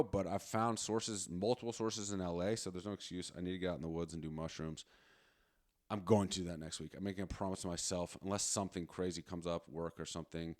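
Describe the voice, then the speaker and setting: A man's voice: low voice, darkish tone, no echo, clean studio sound. A man in his late thirties hosting a solo podcast, chatting in a casual, rambling, slangy way with plenty of swearing. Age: late 30s. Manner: profane, slangy, informal. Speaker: podcast host.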